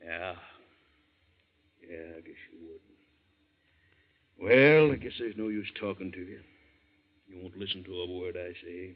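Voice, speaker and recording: Slow-talking, kindly boat captain. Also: male voice, low-pitched. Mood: regretful. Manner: hesitant, folksy, drawn-out delivery. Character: kindly, easygoing boat captain, loyal and humble. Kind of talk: 1940s radio drama acting. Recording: dull 1940s radio recording.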